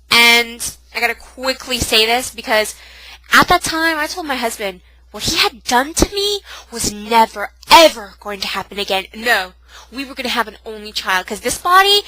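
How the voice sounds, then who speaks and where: A woman's voice: on the high side, lively intonation, moderate pace, sharp tone, no room sound, rough recording. A young woman with a cold, sounding nasal and sniffly, chatting in a casual, self-mocking home vlog. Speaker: vlogger. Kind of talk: casual pregnancy update vlog.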